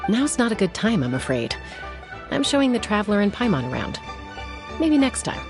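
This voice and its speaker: A woman playing a composed young warrior and protective peacemaker in a video game, with a calm, measured, gently firm manner. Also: medium pitch, music in the background, natural voice.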